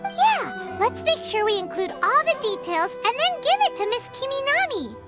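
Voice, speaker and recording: A woman's voice: extremely high pitch, big pitch swings, moderate pace. A woman voicing a tiny, bubbly female fairy-like companion in a game cutscene, speaking of herself in the third person. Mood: cheerful. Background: game music.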